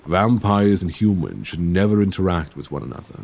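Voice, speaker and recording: A man's voice: expressive, darkish tone, full character voice. A man playing a tormented, courtly young Victorian vampire in an ASMR roleplay, speaking tenderly and formally, close to a binaural microphone. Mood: sorrowful, regretful. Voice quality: very deep, breathy, husky. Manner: formal, old-fashioned, measured phrasing. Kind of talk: ASMR roleplay audio drama acting.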